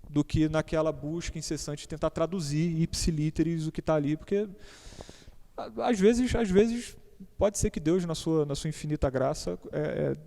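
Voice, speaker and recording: Mellow Brazilian man with halting repeats. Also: on the high side. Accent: Brazilian Portuguese. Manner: hesitant, repeats words, informal asides. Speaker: church teacher on a panel. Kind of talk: conversational teaching. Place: church hall. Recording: long hall reverb.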